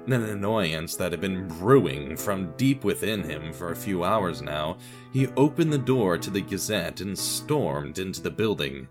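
A man's voice: deep voice, animated, a little slow, over music. A man giving scripted story narration as narrator of an audio drama podcast.

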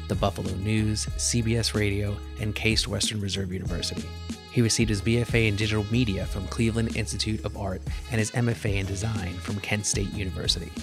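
Podcast host with soft music under the intro. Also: male voice, on the low side, creaky. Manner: scripted intro read, then lively conversational questions. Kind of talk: podcast interview.

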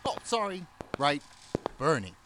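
An actor playing an affable, deferential corporate middle manager in an audio drama, casual and a little hesitant. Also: male voice, on the high side, sing-song, a little slow, background noise. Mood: apologetic, chatty.

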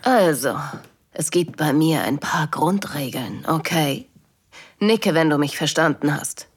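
A woman playing a calm, strict dominatrix in an intimate audio drama, teasing and commanding in a controlled, breathy voice. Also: low-pitched, slow pace, heavily acted. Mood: stern, commanding.

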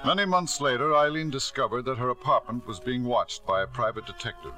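An actor playing a wealthy, controlling middle-aged husband with a suave, clipped manner in a 1950s radio drama. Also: male voice, medium pitch, brisk, bright, forceful tone, faint music behind, natural voice, low-quality recording. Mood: confiding, rueful. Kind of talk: 1950s radio drama acting with first-person narration. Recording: vintage 1950s radio broadcast.

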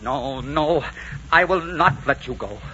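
An actor playing a proud Spanish conquistador commander in love, in melodramatic 1940s radio style. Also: male voice, on the high side, animated, takes it slowly, exaggerated voice. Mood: anguished, defiant. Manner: melodramatic, swinging from bellowed orders to hushed tenderness. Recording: muffled, noisy 1940s radio recording.

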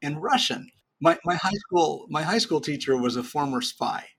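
A middle-aged man, a podcast guest, giving business advice in a lively, joking, explanatory way. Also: fairly high pitch, moderate pace, gravelly, a little hiss or hum. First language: English. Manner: animated, jokey explainer.

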